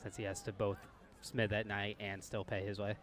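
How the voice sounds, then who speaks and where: Man, game master, lowish voice, halting, thinking out loud, tabletop rules talk. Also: level tone.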